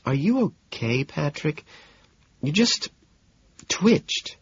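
Man voicing a soft, composed, soothing woman. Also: medium pitch, very animated, a little slow, husky, pitched above the performer's own voice. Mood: concerned. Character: composed, soothing, quietly amused woman, gently concerned. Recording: dull, lo-fi, roomy sound.

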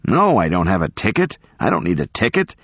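A man performing a pompous, ranting old professor, pitch swinging wildly. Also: wildly swooping pitch, a little fast, husky, no echo, studio quality, full character voice. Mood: indignant, haughty. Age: older. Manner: pompous, emphatic, declamatory. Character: ranting, unkempt, indignant elderly male professor.

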